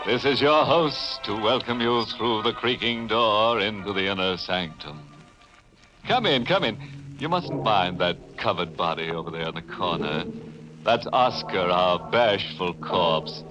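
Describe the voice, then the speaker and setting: A low-voiced, ghoulish male host of an old-time radio horror show. He is theatrical and sardonic. The dull vintage recording has clear music behind him. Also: lively intonation. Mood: mock welcoming. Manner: theatrical, darkly humorous, deliberate. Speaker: host and narrator. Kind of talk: 1940s radio horror narration. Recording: band-limited old radio with organ music.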